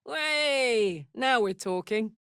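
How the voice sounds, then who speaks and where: A woman's voice: on the high side, lively intonation, very slow, fairly bright tone, clear, resonant tone, dry recording, clean studio sound, heavily acted. An actress with a British accent playing a brash, big-hearted, battle-hardened warrior woman in a fantasy video game, blunt and earthy in manner. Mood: excited.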